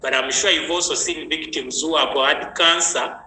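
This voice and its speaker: A man's voice: on the high side, steady tone, moderate pace, bright, forceful tone. A man hosting an online health training, chatty and greeting viewers by name. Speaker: health session host. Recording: echoey room with faint noise.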